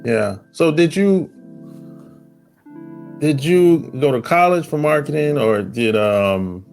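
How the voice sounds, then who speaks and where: A man hosting an interview podcast, asking questions in an unhurried, conversational way. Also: on the high side, expressive, gravelly, darkish tone, faint music behind. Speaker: podcast host.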